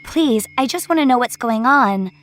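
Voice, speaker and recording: A woman's voice: fairly high pitch, animated, normal speed, faint music behind, pitched above the performer's own voice, natural voice. A woman playing an agitated, sarcastic young female tenant who demands answers in an emphatic, exasperated way in an audio horror story. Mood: pleading.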